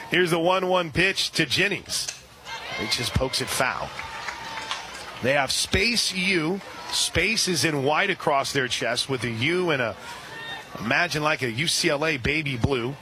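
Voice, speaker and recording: A man calling a softball game live on radio, chatty and excitable, slipping quick asides between pitches. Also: noisy background. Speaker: radio play-by-play announcer. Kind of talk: live radio sports commentary. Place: outdoor ballpark press area.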